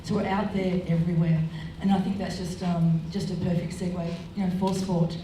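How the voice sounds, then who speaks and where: A woman business executive answering a panel question in measured, corporate phrasing. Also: fairly low pitch, flat delivery, mellow, a little hiss or hum. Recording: echoey hall, distant mic.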